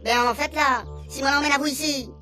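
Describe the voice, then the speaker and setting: A woman's voice: high-pitched, fairly even intonation, brisk, bright, forceful tone, soft background music. A woman playing an earnest, pleading little alien with childlike broken grammar in a comic radio serial.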